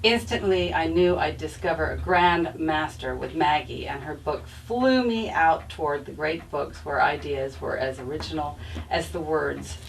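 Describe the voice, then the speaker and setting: A woman interviewer with a low voice and plenty of vocal fry, picked up from some distance with faint noise behind. She sounds formal, reading out prepared praise and questions for an author. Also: a little slow. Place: bookstore event.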